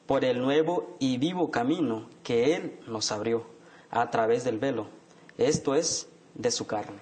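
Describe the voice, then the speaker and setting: Young Mexican theology student. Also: male voice, mid-range voice, darkish tone. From Chiapas, Mexico.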